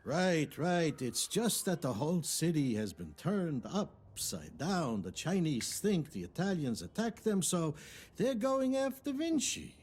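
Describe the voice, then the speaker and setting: An actor playing a smug, sly loan shark in a video game cutscene, talking slowly and mockingly. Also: male voice, sing-song, a little mellow, breathy edge, full character voice. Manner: slow, mocking, theatrical, with swooping pitch.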